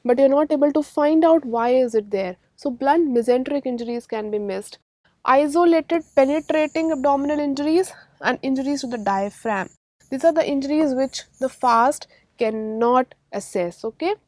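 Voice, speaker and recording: A woman's voice: high-pitched, a little mellow, no room sound. A woman with an Indian accent giving a medical lecture in an emphatic teaching manner, repeating key terms and checking that students follow. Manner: didactic, emphatic repetition, frequent check-in questions. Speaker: radiology lecturer. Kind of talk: medical exam-prep lecture.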